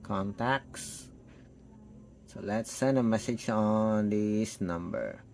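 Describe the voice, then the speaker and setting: A man's voice: fairly low pitch, slow, creaky, darkish tone, faint music behind. A man giving an unscripted, step-by-step hands-on product demo, thinking aloud as he tests. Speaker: tech product reviewer.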